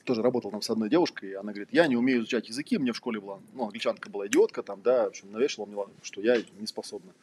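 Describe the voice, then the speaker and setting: A man's voice: fairly low pitch, rapid-fire, hardly any pauses, darkish tone, slight background noise. A man giving an informal lecture, conversational and rambling, telling anecdotes with a wry manner. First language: Russian. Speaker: trainer, lecturer.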